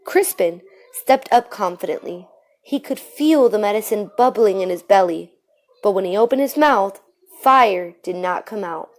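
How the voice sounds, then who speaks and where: A woman reading a children's storybook aloud in an expressive way. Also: mid-range voice, a little slow. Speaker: storybook reader.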